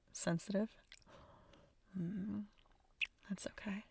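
A woman whispering softly and intimately close to the mic, in a slow, hushed audio roleplay. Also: mid-range voice, steady tone, gravelly, background noise.

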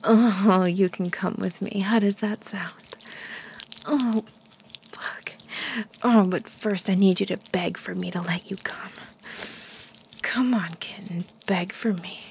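A woman whispering an intimate audio roleplay, breathy, teasing and commanding. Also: mid-range voice, fairly even intonation, slow, a little mellow, a little hiss or hum.

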